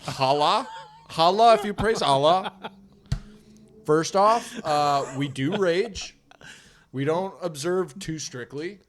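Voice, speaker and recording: A man's voice: a little high, slow pace, pauses often, faint music behind. A man playing a bragging, laid-back Moroccan desert-raider bro in an improvised comedy bit, swearing and slangy. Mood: chill bragging.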